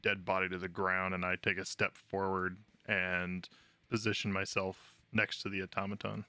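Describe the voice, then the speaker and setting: Low, bright-voiced man playing a game. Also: monotone, moderate pace, no room sound. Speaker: tabletop game player. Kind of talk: casual game play talk.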